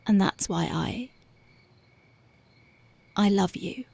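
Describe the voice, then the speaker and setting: A woman voicing a tough but devoted young punk girlfriend in an ASMR roleplay, talking softly and intimately close to the mic, often dropping to a whisper. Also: mid-range voice, slow pace, vocal fry, breathy edge, no echo, exaggerated voice. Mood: tender, dreamy. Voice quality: soft, intimate, partly whispered. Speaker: voice actress.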